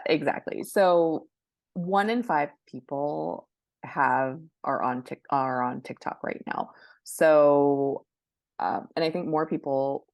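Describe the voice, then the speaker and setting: Female expert guest, low register, conversational explainer delivery, dry recording. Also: no room sound. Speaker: guest book marketing expert.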